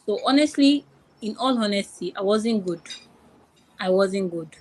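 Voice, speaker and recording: A woman's voice: medium pitch, animated, measured pace, slight background noise, poor audio. A young woman from Nigeria with a Nigerian accent, a podcast guest talking casually, who repeats her phrases and restarts often. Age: young adult. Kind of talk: podcast interview.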